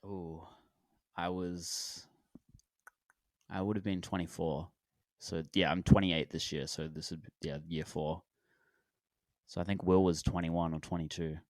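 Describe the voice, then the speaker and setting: A man in his twenties from Australia, a musician guest on a podcast, talking in a relaxed, rambling way with lots of fillers and restarts. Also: deep voice, a little slow, long pauses, dry recording. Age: 20s. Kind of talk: casual podcast interview.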